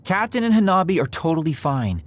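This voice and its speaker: Joking young anime game soldier, breezy, highish voice, very swoopy intonation. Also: male voice, very animated, a little fast. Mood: concerned. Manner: playful, breezy. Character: flippant, teasing young male soldier, easygoing joker. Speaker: voice actor in a video game. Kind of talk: dubbed anime-style game acting.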